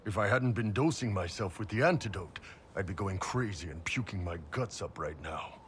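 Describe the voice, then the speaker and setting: An actor playing a big, gruff horned mercenary warrior, blunt and wry, in a video game cutscene. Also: male voice, deep voice, lively intonation, normal speed, a little hiss or hum. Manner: blunt, wry, swearing soldier.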